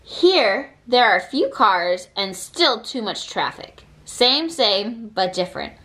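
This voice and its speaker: A woman reading a children's picture book aloud with expressive, deliberate phrasing. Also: mid-range voice. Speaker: read-aloud reader.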